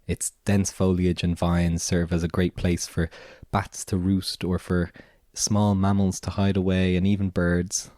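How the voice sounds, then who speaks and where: A man with an Irish accent hosting a podcast, raspy and hoarse from a cold, talking in a relaxed, meandering way with lots of filler words. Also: deep voice, dry recording, studio quality. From Ireland. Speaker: podcast host and gardener. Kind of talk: casual spoken monologue.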